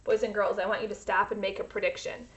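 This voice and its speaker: A woman reading a children's storybook aloud in an expressive, teacherly way, pausing to explain words to young listeners. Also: medium pitch, vocal fry, highs cut off.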